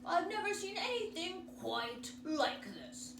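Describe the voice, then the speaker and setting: A pompous, bumbling storybook doctor, acted out by a woman, who hems and haws in exaggerated fashion. The pitch swings widely, and the sound is distant and roomy. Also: big pitch swings, slow, vocal fry, exaggerated voice. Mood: pompous, inquisitive. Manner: hemming and hawing, pompous, exaggerated. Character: fussy, muttering male doctor in a children's storybook. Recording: roomy, distant mic, noisy background.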